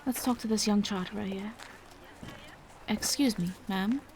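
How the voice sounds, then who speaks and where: A woman playing a gentle, courteous female vampire in a roleplay audio drama, soft-spoken, polite and a little hesitant. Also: mid-range voice, measured pace, a little hiss or hum, natural voice. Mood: calm, considerate.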